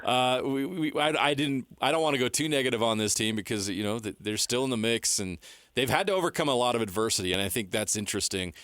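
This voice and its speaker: Quick talking radio host guy, lowish voice, dry studio sound. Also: a bit bright, dry recording. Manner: brisk, friendly questioning. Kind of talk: casual sports talk.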